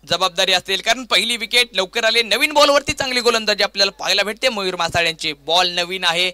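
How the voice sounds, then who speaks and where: High, bright-voiced Maharashtrian man commentating cricket. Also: quick pace, clean tone, no room sound. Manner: grandiose, dramatic phrasing with repeated stock lines. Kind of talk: live play-by-play sports commentary.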